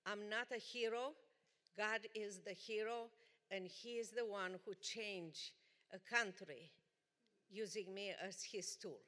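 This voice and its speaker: Slow-speaking woman with a Romanian accent. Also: mid-range voice, sharp tone. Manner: earnest, deliberate, emphatic. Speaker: guest speaker. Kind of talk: personal testimony talk. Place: church. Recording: long church hall reverb.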